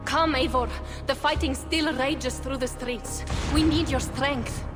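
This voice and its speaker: A woman's voice: high voice, fairly even intonation, unhurried, music in the background. An actress playing a grave, resolute woman at war in a medieval video game, speaking earnestly and urgently. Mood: urgent alarm. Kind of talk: dramatic game cutscene acting.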